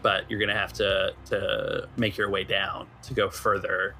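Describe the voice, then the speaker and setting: A man narrating a tabletop roleplaying game as game master, setting scenes in a halting, conversational way. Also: deep voice, flat delivery, sharp tone, soft background music.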